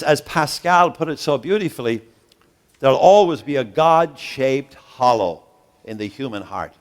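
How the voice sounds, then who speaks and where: A man speaks before a live audience in a lecture hall, his pitch sitting in an ordinary middle range. He builds his phrases with a preacher's rhythm, slowing for emphasis and then lifting his voice, and now and then turns to ask the room what they think. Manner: rhythmic, preacherly, with dramatic slow pauses and sudden rises; asks the audience questions. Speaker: guest professor and speaker. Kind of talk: interactive workshop lecture.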